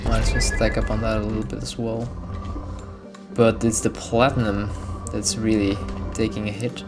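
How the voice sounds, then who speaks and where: A man whose first language is Dutch, talking casually through a gameplay session with frequent ums and soft chuckles. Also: on the low side, level tone, normal speed. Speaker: gamer. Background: video game music.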